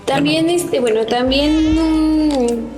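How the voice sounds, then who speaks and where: A woman's voice: high-pitched, drawn-out words. A woman in a casual group podcast, explaining her points with many fillers. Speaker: podcast panelist. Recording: echoey room with music behind.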